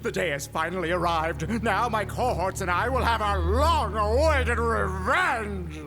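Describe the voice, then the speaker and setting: Smug scheming villain, high voice, lively theatrical swoops, audio drama, music underneath. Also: male voice, a little slow. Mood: gloating. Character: gloating, petty male villain scheming revenge. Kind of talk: scripted audio drama acting.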